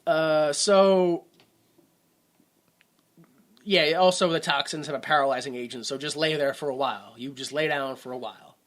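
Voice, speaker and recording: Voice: mid-range voice, moderate pace, long pauses. A podcast co-host in casual movie-review chat, rambling and sarcastic, often breaking off mid-sentence. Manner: rambling, self-interrupting, sarcastic.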